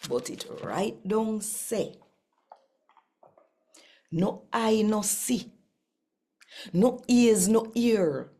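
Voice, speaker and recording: A Jamaican woman with a husky, gravelly voice, hosting a scripture reading channel in a deliberate, emphatic manner. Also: medium pitch, expressive, dark tone. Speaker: host and scripture reader.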